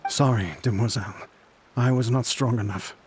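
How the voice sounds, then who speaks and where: A man's voice: medium pitch, normal speed, a little hiss or hum, close to an everyday voice. A man playing a humble, devoted bodyguard in a video game, with a formal, deferential manner. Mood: apologetic.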